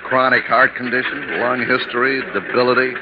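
A man's voice: medium pitch, little pitch movement, moderate pace, lightly acted. An actor playing a big, blustery middle-aged insurance man in a 1950s radio drama, talking in a chummy, folksy way. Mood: confiding. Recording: noisy, dull 1950s radio recording.

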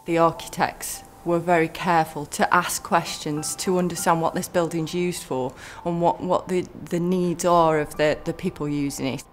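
Low-voiced woman, factory manager, earnest, soft music beneath. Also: steady tone, normal speed, few pauses. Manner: earnest, occasional restarts. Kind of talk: documentary interview.

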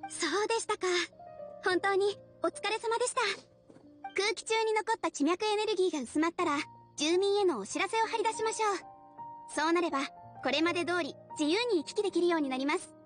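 A woman's voice: extremely high pitch, brisk. A woman playing a polite young female guild receptionist in a Japanese video game, with formal, courteous service speech. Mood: polite, composed. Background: game music.

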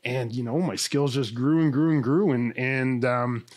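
A man in his thirties, a dentist as a podcast guest, talking earnestly and energetically and repeating words as he builds each point, into a boom-arm studio mic indoors. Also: a little slow, a little mellow. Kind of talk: conversational interview. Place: indoor studio, remote call.

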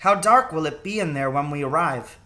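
Man putting on an eager, high-pitched young boy. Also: lively intonation, moderate pace, bright, forceful tone. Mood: curious. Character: eager, curious young boy, the narrator's son. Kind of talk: storybook read-aloud.

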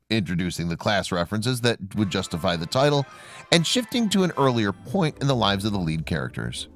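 Male narrator, lowish register, animated pitch movement, formal scripted read of trivia.

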